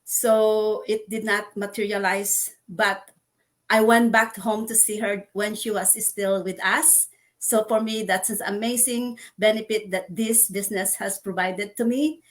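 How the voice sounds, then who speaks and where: A woman from the Philippines hosting a video-call chat, speaking earnestly and haltingly with frequent fillers. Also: medium pitch, fairly even intonation. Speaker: host. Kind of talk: casual chat.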